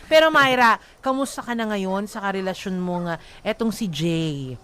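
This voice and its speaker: A radio co-host chatting casually with a guest, playful and teasing, quick to throw in a joke. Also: mid-range voice, pushed and bright, a little hiss or hum. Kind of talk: casual teasing interview banter.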